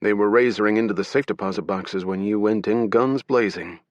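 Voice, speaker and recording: A man voicing a brisk male bank security director, briefing the police in clipped, rapid-fire phrases. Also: lowish voice, no echo, natural voice.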